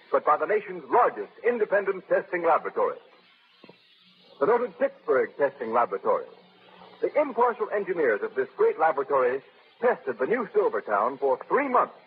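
A man's voice: sits high, husky. A man reading an emphatic sponsor message as an old-time radio announcer. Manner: emphatic, salesman-like. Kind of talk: 1930s radio ad read. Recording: tinny old radio broadcast recording.

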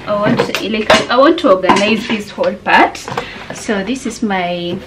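A woman's voice: mid-range voice, moderate pace, very noisy. A young woman with a Kenyan accent chatting casually to her viewers in a home vlog, slipping Swahili words into her English. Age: young adult. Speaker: vlogger. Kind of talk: casual vlog chat to camera. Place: small home room.

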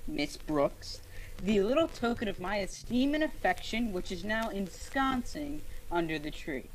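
A woman playing an earnest, gushing, pompously wordy high school boy in an old-time radio comedy. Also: big pitch swings, unhurried, a little hiss or hum, poor audio. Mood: earnest, sentimental. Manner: florid, over-earnest, hesitant.

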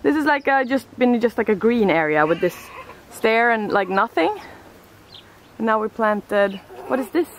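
A woman's voice: on the high side, expressive, moderate pace, a little hiss or hum. A young woman with a Swedish accent chatting casually to her handheld camera as a vlogger. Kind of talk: casual vlog chat.

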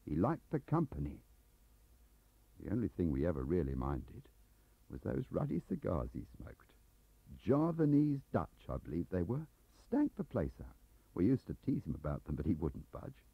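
A man's voice: deep voice, wildly swooping pitch, normal speed, vocal fry, husky, no echo, heavily acted. A man voicing an aggrieved, lonely middle-aged English clerk who rambles defensively, in an audiobook on a dull cassette recording. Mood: wistful, confessional.

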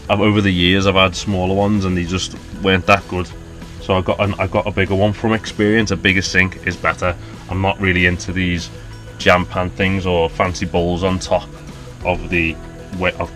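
A man's voice: deep voice, fairly bright tone. A man in his thirties with a Liverpool accent, chattily showing his camper van and explaining each feature as he points it out. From Liverpool, England. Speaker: van dweller giving a van tour. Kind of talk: casual vlog tour narration. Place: inside a small wooden camper van. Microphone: handheld action camera close up.

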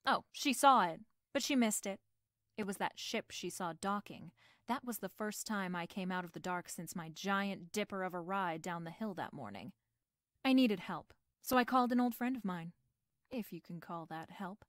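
A woman's voice: medium pitch, normal speed, no room sound, clean studio sound, lightly acted. An actress playing a wisecracking, flirtatious female private eye with a snappy, wry manner in a radio detective drama. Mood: wry narrating. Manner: snappy, wry, hard-boiled. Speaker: lead actress. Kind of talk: remake of 1940s radio detective drama, with narration.

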